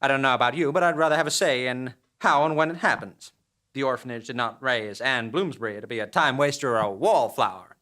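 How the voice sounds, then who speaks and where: A man's voice: mid-range voice, brisk, bright, forceful tone, close to an everyday voice. A man playing a brash, cheerfully nihilistic female minion in a comic audio drama, with breezy, folksy, old-fashioned slangy delivery. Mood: defiant.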